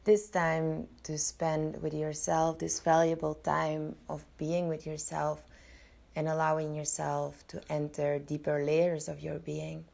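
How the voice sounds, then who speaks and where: Very slow, low-pitched woman guiding meditation. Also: monotone, vocal fry, a little mellow. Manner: soothing, unhurried, with long pauses between short phrases. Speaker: podcast host and yoga nidra guide.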